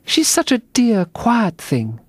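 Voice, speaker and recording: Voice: mid-range voice, huge pitch swings, slow pace, slightly rough, no echo, pitched above the performer's own voice, full character voice. A narrator voicing a polite, chatty little girl in a storybook, with a gushing, earnest manner. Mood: fond, dreamy.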